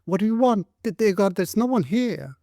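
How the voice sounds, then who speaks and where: A frightened young servant girl with a shrill voice, stammering as she pleads in a storybook reading, put on by a male narrator. The voice sits high, with a mellow tone. The recording is close and dry. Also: normal speed, gravelly, no echo. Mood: frightened pleading.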